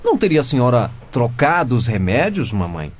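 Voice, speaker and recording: A man's voice: mid-range voice, big pitch swings, a little fast, husky. A man playing a cheeky, officious teenage boy who questions people like a detective in a comic radio drama. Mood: officious, probing. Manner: mock-formal, inquisitive.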